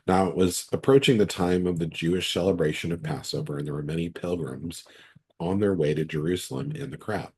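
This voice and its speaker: A man with a cold, his voice hoarse and raspy, leading an online midweek Bible study as pastor, reading scripture and then explaining it in a loose, conversational way. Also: fairly quick, a little mellow, slight background noise. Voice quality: raspy, very deep voice. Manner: conversational, restarts sentences often.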